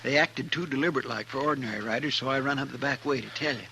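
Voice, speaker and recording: An actor playing an earnest, deferential frontier sidekick, folksy and eager, in 1950s radio western style. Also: male voice, mid-range voice, racing, close to an everyday voice. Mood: urgent. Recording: very noisy old radio recording.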